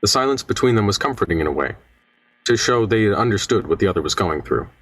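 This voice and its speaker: A man's voice: lowish voice, lively intonation, quick pace, a bit bright, breathy edge, no room sound, distant mic. A man giving scripted dramatic narration for a radio play.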